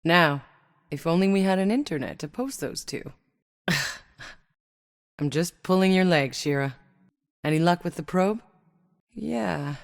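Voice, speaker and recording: A woman's voice: on the low side, dry recording, clean studio sound. An actress playing a tough, sardonic woman mercenary in a video game, speaking in a wry, blunt, colloquial way. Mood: teasing, casual. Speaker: video game protagonist voice actor. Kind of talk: scripted game dialogue.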